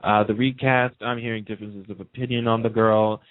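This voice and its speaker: Man, radio host, lowish voice, casual opinionated chat, dry phone-like sound. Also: level tone, a little fast, no echo. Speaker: radio talk show host. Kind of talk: casual panel chat about TV soaps. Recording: muffled phone-like radio line.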